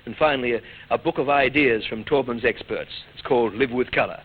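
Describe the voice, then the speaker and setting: A man's voice: mid-range voice, fairly quick. A man hosting a vintage radio quiz show with playful, teasing banter. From Australia. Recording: dull old radio recording, noisy studio audience.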